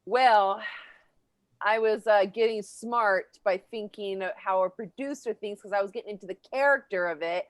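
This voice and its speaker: A woman's voice: mid-range voice, normal speed, no echo, lightly acted. A woman playing a sassy, teasing celebrity panelist bantering in an improvised game show comedy.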